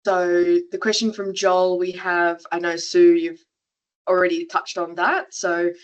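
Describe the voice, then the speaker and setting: A woman's voice: lowish voice, little pitch movement, a little slow. A woman moderating an online webinar over a video call, upbeat as she reads out audience answers and questions.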